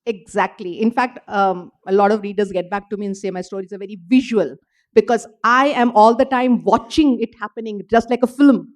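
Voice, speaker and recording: A woman's voice: mid-range voice, brisk. A woman author, earnestly explaining her writing process at a literature festival panel. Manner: explanatory, earnest, uses "you know" fillers. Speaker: author answering audience questions. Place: event hall with audience.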